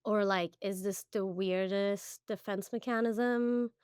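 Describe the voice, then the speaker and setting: A woman's voice: mid-range voice, fairly even intonation, slow pace, a little mellow, smooth, dry recording, studio quality. A young adult woman from Iran, co-hosting a podcast in casual chat, talking candidly with many fillers and restarts. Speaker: podcast co-host.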